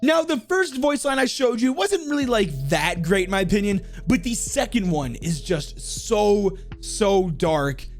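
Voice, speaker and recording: A high-pitched male host gives casual, enthusiastic commentary on a video game ranking. He rambles along in loose slang, with faint music behind him. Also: sing-song, measured pace, hardly any pauses. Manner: rambling, uses lots of slang.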